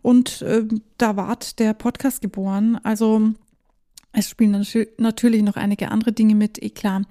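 A woman's voice: mid-range voice, level tone, normal speed, dark tone. A woman hosting her own podcast in casual solo talk, chatty, dropping in English phrases and laughing now and then. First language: German. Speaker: podcast host.

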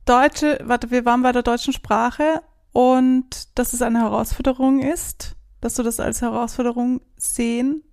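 A woman's voice: fairly high pitch, steady tone, unhurried, darkish tone. A woman chatting casually as an interviewer who is also a singer-songwriter. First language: German. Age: adult, not young teens. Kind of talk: casual conversation.

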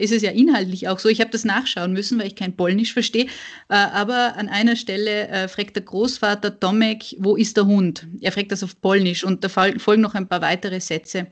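A woman interviewing an author, asking measured questions and often murmuring agreement. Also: mid-range voice, steady tone. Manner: measured, supportive listener with frequent backchannels.

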